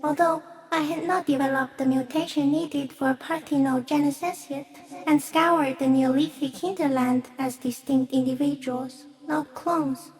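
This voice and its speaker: A woman voicing a giant stick insect in a video game, gentle, solemn and cryptic, speaking in slow, measured phrases. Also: high voice, fairly even intonation, creaky, soft background music, poor audio. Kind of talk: video game character acting.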